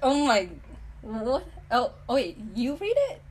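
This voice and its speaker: A young woman in her late teens hosts a casual podcast with a fairly high voice. She is chatty and giggles often between her sentences. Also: big pitch swings, measured pace, rough recording. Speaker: podcast host. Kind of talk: casual chat.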